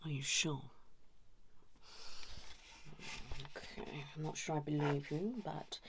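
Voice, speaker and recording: A woman playing a blunt, judgmental female couples therapist in an ASMR role-play, soft and breathy, often dropping into a whisper. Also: deep voice, background noise, pitched below the performer's own voice. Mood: probing, sceptical. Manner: fussy, chiding, pausing as if listening to clients.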